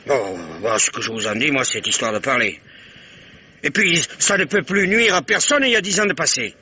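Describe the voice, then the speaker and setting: A man's voice: fairly high pitch, animated, moderate pace, bright, forceful tone, slight background noise. An actor playing an evasive, blustering old local man in a 1950s-style radio drama. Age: older. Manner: blustering, dodging questions, grumbling.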